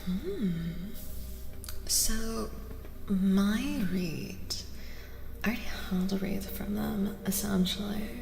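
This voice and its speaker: A woman speaking softly in a casual ASMR style as a streamer giving a tarot reading, gentle and unhurried, often dropping into whispers. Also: medium pitch, gravelly. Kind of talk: soft-spoken ASMR, casual live chat and reading. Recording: echoey reverb with soft music.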